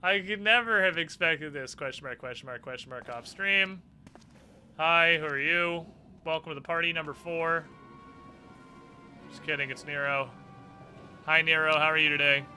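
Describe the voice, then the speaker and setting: A man commentates on a game livestream in a very bright, forceful voice pitched a little high. His delivery is irreverent and sarcastic, with loose swearing. Faint music plays behind him. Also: normal speed, pauses often. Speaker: livestreamer playing an online role-playing game. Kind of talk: lively gameplay commentary with mock dialogue readings.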